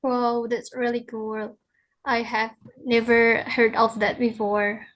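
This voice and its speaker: A young Indonesian woman, a student, asking interview questions in English in a halting, hesitant way. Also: on the high side, little pitch movement, a little hiss or hum, low-quality recording. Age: young adult.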